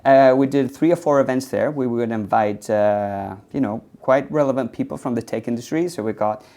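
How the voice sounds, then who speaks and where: Relaxed man telling his story, many ums. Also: mid-range voice, normal speed. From Spain. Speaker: CEO of a tech hub. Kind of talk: conversational interview answers. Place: indoors in an office building.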